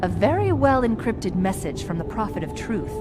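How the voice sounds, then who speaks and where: A woman's voice: mid-range voice, big pitch swings, normal speed, close to an everyday voice. An actress playing a witty female AI companion who gives briefings with dry, wry quips. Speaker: AI advisor. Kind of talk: game cutscene acting. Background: loud orchestral music.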